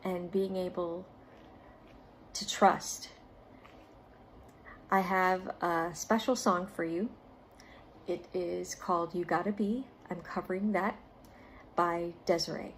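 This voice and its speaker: A woman reading aloud and talking directly to children as a storytime volunteer, in deliberate, carefully phrased speech with short pauses. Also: medium pitch, slight background noise, rough recording.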